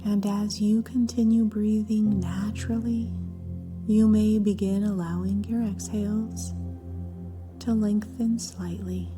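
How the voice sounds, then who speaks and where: A woman leading a scripted guided meditation in a gentle, soft voice with breathy phrase endings and long pauses between short phrases. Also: mid-range voice, level tone. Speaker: therapist guiding a meditation. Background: background music.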